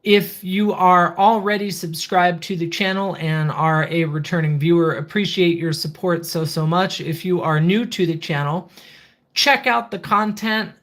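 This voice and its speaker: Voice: mid-range voice, steady tone, measured pace, a bit bright. Methodical livestream host reviewing gear. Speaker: video channel host, product reviewer. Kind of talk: casual livestream product review and channel update.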